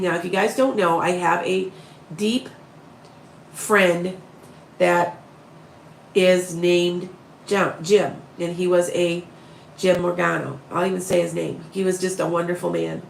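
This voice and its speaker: A woman with a raspy voice and a head cold, chatting to her viewers on a live stream in a rambling way, often starting her sentences over. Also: on the low side, echoey room, a little hiss or hum, rough recording. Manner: rambling, restarts often, talks straight to viewers. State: head cold, sniffly and short-winded. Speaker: psychic medium live-streaming to followers. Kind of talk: casual live-stream chat.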